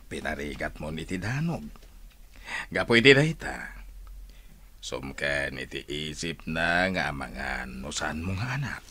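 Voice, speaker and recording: A man's voice: very deep voice, big pitch swings, measured pace, bright, forceful tone, husky, full character voice. A Filipino man playing a stern, emphatic accuser who confronts a crime boss in a radio drama. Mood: reproachful.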